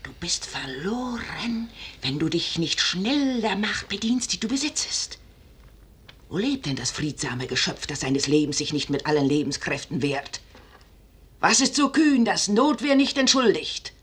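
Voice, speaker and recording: An actress playing a forceful, scheming noblewoman in a 1950s German radio drama, declaiming insistently in classical stage style with a husky voice. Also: lowish voice, sing-song, a little slow, heavily acted. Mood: pressing, intense. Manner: rhetorical, insistent, theatrically declaimed. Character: forceful, scheming noblewoman urging a wavering commander to seize power.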